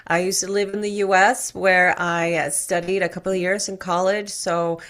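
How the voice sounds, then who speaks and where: Low-voiced American-sounding woman. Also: level tone, normal speed, a bit bright. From Sweden. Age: middle-aged. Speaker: podcast guest, tech expert. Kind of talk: casual interview talk.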